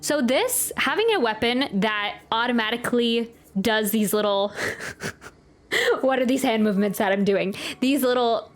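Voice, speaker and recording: A woman's voice: a little high, expressive, unhurried. A young woman chatting playfully and expressively as she reacts to a video game. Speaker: reaction video host, gamer. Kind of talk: casual gameplay reaction, some reading aloud of dialogue. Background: game music.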